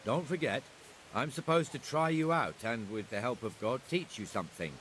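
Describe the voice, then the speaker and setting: A man's voice: medium pitch, normal speed, fairly bright tone, a little hiss or hum, close to an everyday voice. An actor playing a blunt, teasing, seasoned town watchman in a medieval video game. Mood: instructive.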